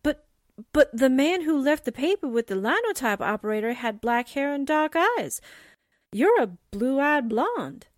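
A woman playing an excitable, naive but caring sidekick in a radio mystery drama, expressive and exclamatory. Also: high voice, a little slow, no echo, studio quality. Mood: startled.

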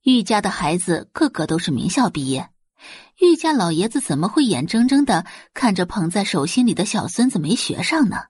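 Husky, expressive woman narrating fiction. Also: mid-range voice, moderate pace, no room sound, studio quality. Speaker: audiobook narrator. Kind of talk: dramatic scripted storytelling.

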